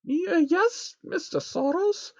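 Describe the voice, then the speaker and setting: Man doing a squeaky, timid young female unicorn. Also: lively intonation, slow pace, no echo, highs cut off, heavily acted. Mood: frightened. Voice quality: squeaky falsetto. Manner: hesitant, stammering.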